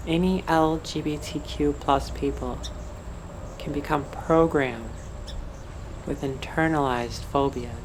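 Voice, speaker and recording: Slow, low-voiced woman guiding meditation. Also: fairly even intonation, noisy background. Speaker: meditation guide. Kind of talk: guided meditation narration.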